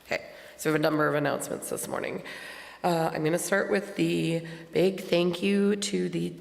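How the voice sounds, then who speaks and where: Hoarse, very creaky woman in a reverberant church. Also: fairly low pitch, fairly even intonation, normal speed. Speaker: congregation board member making announcements. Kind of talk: informal spoken church announcements. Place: large church sanctuary. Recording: long hall reverb from church sound system.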